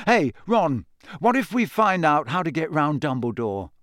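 A man's voice: fairly high pitch, sing-song, measured pace, a bit bright, no echo, studio quality. A man playing a cocky, mischievous teenage schoolboy who talks in an eager, informal, scheming way in a storybook reading. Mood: airy.

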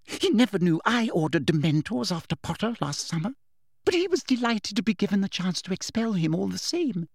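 A man's voice: high-pitched, big pitch swings, a little fast, gravelly, dry recording, studio quality, voice pushed up, heavily acted. A man voicing a cruel, prim middle-aged witch official with a cold, menacing manner.

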